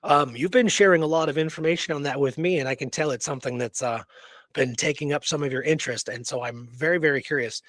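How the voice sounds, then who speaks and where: A man co-hosting a history show, talking off the cuff in a halting way with emphatic repetition. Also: a little high, a bit bright, no echo, studio quality. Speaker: co-host of a history radio show. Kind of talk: unscripted history discussion.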